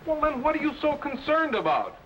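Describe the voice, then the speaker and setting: An eager young lab assistant in an old sci-fi serial, rattling off quick questions in a bright, lively voice. His pitch leaps about as he reacts. An old lo-fi broadcast with a faint hiss. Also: heavily acted. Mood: curious. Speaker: captain's assistant. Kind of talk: 1950s radio-style sci-fi acting.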